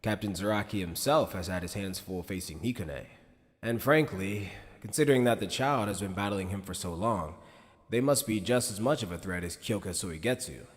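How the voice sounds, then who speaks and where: A man plays a shrewd middle-aged military commander in an anime audio drama. The voice is low, grave and measured, wrapped in long reverb. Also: natural voice. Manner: measured, serious. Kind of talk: anime fan-dub audio drama acting.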